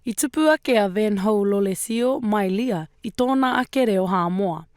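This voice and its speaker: A woman reporter narrating a news story in an even, formal voice-over. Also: medium pitch, normal speed.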